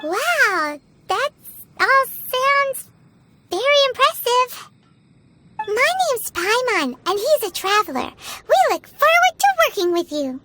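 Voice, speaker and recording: A tiny, childlike game companion, squeaky and extremely high. The delivery is excitable and blunt, swooping up and down in exclamations over prominent music. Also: female voice, normal speed, hardly any pauses, exaggerated voice. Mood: impressed, cheerful. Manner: exclaiming, talks about self in third person. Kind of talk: video game voice acting.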